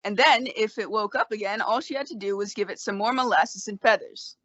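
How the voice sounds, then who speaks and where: Woman as bright, argumentative frontier town doctor. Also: medium pitch, a little fast, dry recording, lo-fi sound, close to an everyday voice. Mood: teasing. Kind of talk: old-time western radio play reenactment.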